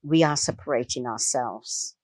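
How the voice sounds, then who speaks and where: A woman's voice: rumbling low, moderate pace, darkish tone, no room sound. A woman speaking as an interview guest, emphatic and impassioned, repeating phrases for weight. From Jamaica. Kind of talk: impassioned discussion.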